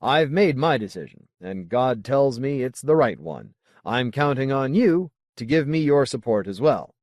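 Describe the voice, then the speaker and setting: A man voicing a solemn, zealous colony leader in an audiobook, speaking in a formal, deliberate, oratorical way. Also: medium pitch, animated, a little mellow, dry recording, studio quality, voice pushed up, close to an everyday voice. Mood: grave, urging. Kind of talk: audiobook character dialogue.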